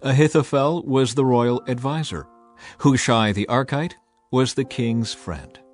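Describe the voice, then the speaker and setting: A man reads scripture as a scripted dramatized narration, measured and solemn. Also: medium pitch. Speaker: Bible narrator. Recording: music behind the voice. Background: background music.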